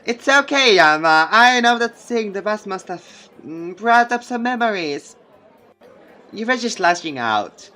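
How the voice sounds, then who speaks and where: A man puts on a teenage girl from a visual novel, pitched up and bright. She is witty and playful, quick to tease and just as quick to get flustered. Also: big pitch swings, a faint crowd, heavily acted. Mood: gentle. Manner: playful, teasing, easily flustered.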